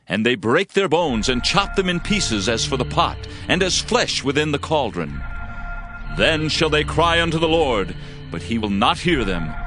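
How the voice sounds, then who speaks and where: An actor playing a fervent, solemn prophet in a dramatized scripture reading, declaiming judgment and hope. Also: male voice, medium pitch. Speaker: Bible narrator. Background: music.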